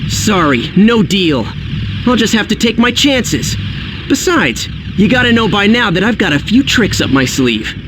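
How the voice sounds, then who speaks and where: A man's voice: high voice, very animated, a little slow, noisy background. An actor playing a confident, earnest teenage boy hero in a cartoon video game. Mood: defiant.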